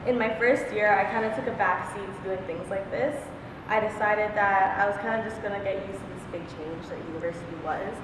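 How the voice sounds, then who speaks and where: A young woman gives a relaxed student election speech in a casual tone. She is heard from far off in a long, echoey hall with some background noise. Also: medium pitch, brisk. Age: young adult. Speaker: student council candidate. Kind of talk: campaign speech.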